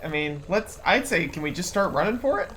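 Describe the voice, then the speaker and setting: A woman's voice: fairly low pitch, expressive, a little fast, noisy background. A woman playing a tabletop game, talking through plans casually and off the cuff. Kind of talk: casual improvised game chat.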